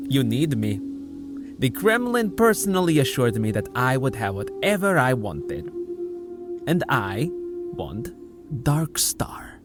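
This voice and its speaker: A man voices a haughty, scheming scientist who insists he is irreplaceable. His mid-range voice sits over clearly heard music in an audio drama. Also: mid-range voice, big pitch swings, takes it slowly, pauses often. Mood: arrogant. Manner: haughty and demanding. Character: arrogant, scheming male gamma scientist.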